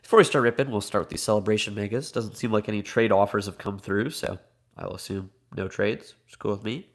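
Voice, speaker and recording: A slightly low-voiced man with a Midwestern American accent runs a live card break. He is a bit congested from a cold, and he talks in a chatty, upbeat way while reading off names and teams. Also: steady tone, quick pace.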